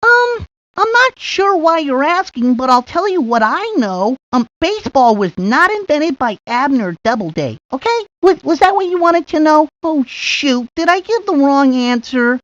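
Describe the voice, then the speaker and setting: A woman voicing a shy, self-doubting young boy in a video game, speaking in halting, hesitant bursts. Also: high-pitched, no echo. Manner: hesitant, halting, full of ums. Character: shy, nervous young boy ballplayer, self-doubting and eager to be left alone. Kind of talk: video game character monologue. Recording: dry, lo-fi 1990s game audio.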